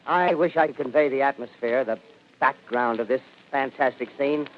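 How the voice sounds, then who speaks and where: An actor playing a live on-scene radio reporter in a radio drama, talking in breathless commentary with hesitant fillers. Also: male voice, mid-range voice, normal speed, close to an everyday voice. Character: excitable male field radio reporter. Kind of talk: 1930s radio drama acting. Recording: dull lo-fi radio recording, faint hiss.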